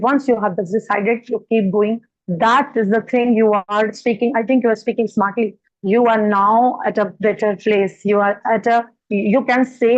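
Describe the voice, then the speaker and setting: A woman hosting an English-practice chat, talking in a loose, encouraging way with frequent murmured "hmm"s. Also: mid-range voice. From India. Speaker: host, English mentor. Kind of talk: casual interview over video call. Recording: dull lo-fi video call audio.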